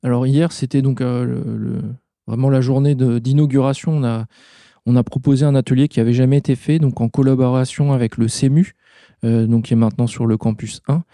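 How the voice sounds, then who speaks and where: Flat, gravelly-voiced man organizer. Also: mid-range voice, no room sound, studio quality. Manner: measured, explanatory, frequent restarts. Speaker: festival organizer. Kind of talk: interview answers.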